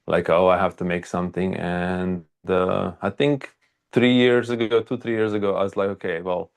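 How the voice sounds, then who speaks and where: A man with a Croatian accent speaks in a very deep register with a lot of gravelly fry. He tells stories casually as an interview guest, halting often and leaning on filler words. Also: level tone. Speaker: archaeologist and video creator, interview guest.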